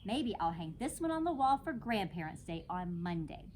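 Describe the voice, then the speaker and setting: A woman plays a kind, composed kindergarten teacher in a storybook. Her delivery is polite, encouraging and expressive, over a slightly noisy recording. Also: mid-range voice, normal speed, close to an everyday voice. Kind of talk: children's storybook read-aloud.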